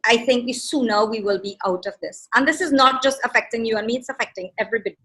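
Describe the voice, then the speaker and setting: A woman's voice: on the high side, fast talker, sharp tone. A middle-aged woman from India with a Trinidadian accent, talking earnestly in an interview with many fillers and restarts. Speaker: guest. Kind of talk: casual interview chat.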